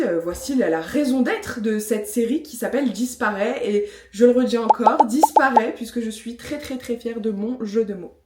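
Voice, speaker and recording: A woman's voice: on the high side, darkish tone. A woman in her thirties from France, talking chattily to camera with frequent fillers and self-mocking asides while reviewing skincare. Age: 30s. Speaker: vlogger. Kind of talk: casual vlog talk with product review. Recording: echoey room.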